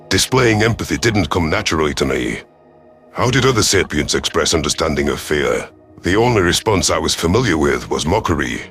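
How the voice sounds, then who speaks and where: Male reader as gruff alien rebel commander: very low, proud, sardonic, stern, first-person sci-fi narration. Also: a bit bright. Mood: measured. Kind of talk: first-person scripted audio story narration.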